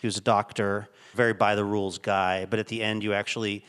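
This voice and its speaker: A man's voice: lowish voice, little pitch movement, brisk, a bit bright, smooth. A man with an American accent hosting a radio show, making announcements and interviewing conversationally.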